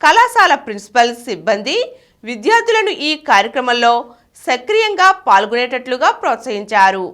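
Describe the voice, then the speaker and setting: A woman's voice: on the high side, sing-song, a little fast, a bit bright. A woman reading scripted news reports. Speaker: news reader.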